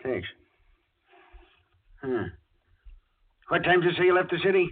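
Tinny old-radio state trooper. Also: male voice, sits high, very animated, a little slow, heavily acted. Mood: official, probing. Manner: clipped, casual authority. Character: brisk, watchful male state trooper on night patrol. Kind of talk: 1940s radio acting. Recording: tinny 1940s radio recording.

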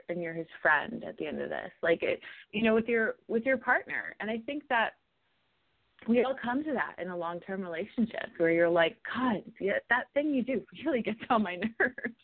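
A woman singer-songwriter from New York City talks through her answers in a radio interview, thoughtful and rambling. Her speaking voice sits slightly low for a woman. The sound is narrow and tinny, like a phone line.

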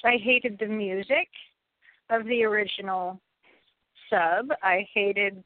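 A woman's voice: medium pitch, level tone, slow, no room sound. An American woman chatting casually and giving emphatic opinions, repeating phrases for stress. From United States. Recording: thin phone-like audio.

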